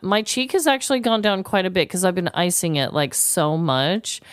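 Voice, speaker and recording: Chatty podcast lady, smooth highish voice, super expressive, swears a lot, dry close sound. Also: moderate pace, dry recording. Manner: expressive, candid, swears freely. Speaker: podcast co-host. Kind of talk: casual conversational storytelling.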